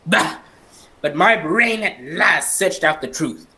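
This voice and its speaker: Young man as a high-voiced, bitter cursed captain. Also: lively intonation, takes it slowly, bright, forceful tone, a little hiss or hum, full character voice. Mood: bitter, scornful. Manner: declamatory, anguished, vengeful. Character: bitter, cursed, centuries-old male ghost ship captain. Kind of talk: old-time radio melodrama acting.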